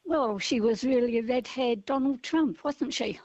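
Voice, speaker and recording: A woman calling in to a talk radio show, her voice croaky, blunt and dismissive. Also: on the high side, normal speed, a little mellow, no room sound. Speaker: caller. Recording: dull phone line.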